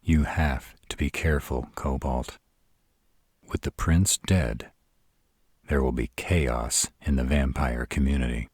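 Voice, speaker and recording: Arrogant male vampire played by a man for audio drama: extremely low, gravel-husky, deliberate and dramatic. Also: steady tone, a little mellow, no room sound, clean studio sound, full character voice. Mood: warning. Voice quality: very deep, rough husky voice. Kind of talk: scripted audio roleplay acting.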